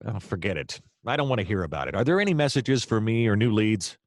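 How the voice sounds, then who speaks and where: An actor with an American accent playing a hapless, wry male private detective in a noir audio play, deadpan and sometimes flustered. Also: on the low side, quick pace, no room sound. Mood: exasperated, sardonic. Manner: wry, deadpan narration, hesitant and flustered in dialogue.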